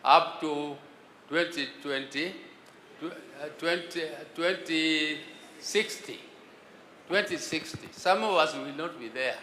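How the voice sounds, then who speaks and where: An older man with a Kenyan accent, speaking earnestly at a national forum with halting restarts. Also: fairly high pitch, sharp tone, a little hiss or hum. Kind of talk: public address. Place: large conference hall. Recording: long hall reverb.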